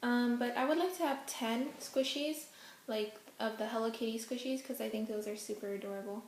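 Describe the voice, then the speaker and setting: Young woman vlogger, first language Hokkien, slightly high voice, casual and chatty in a roomy echo. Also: a little fast, a little hiss or hum. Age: young adult. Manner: chatty, many ums, giggly asides. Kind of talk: casual Q&A vlog. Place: indoors at home, face out of frame.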